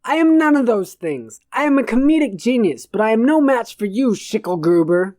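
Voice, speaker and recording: A woman's voice: a little high, big pitch swings, moderate pace, a little mellow, no echo, studio quality. A woman voicing a mischievous, irreverent cult preacher and jester, declaiming in mock-sermon style for an audiobook.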